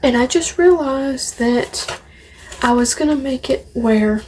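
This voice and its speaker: A woman's voice: high-pitched, takes it slowly, a little mellow, slight background noise, low-quality recording. A woman vlogging casually to camera, showing off a collection in a rambling, opinionated way and repeating phrases. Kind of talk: casual show-and-tell to camera.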